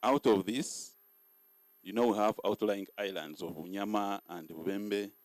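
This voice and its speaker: A middle-aged man speaking as field operations manager at a ceremony, reading out figures steadily and deliberately. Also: fairly low pitch, dry recording. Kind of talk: ceremony speech.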